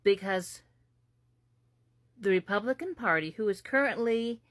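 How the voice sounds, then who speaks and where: A woman's voice: mid-range voice, measured pace, no echo, clean studio sound. A woman giving sarcastic, incredulous political commentary from the United States. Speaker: commentary host.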